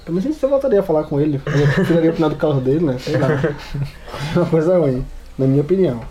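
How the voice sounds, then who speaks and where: A man's voice: on the high side, lively intonation, quick pace, mellow. A man with a Brazilian accent chatting casually as a podcast panelist, slangy and hesitant, in short phrases. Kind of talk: casual chat.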